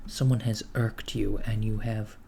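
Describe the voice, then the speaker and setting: Man playing a slow-talking, gravelly villain's recruiter, soft-spoken. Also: fairly low pitch, a little hiss or hum. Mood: businesslike, deadpan. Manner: deadpan, hesitant, measured interviewer. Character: dry, businesslike male villain's recruiter interviewing henchmen. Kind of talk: ASMR roleplay, soft-spoken. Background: computer keyboard typing.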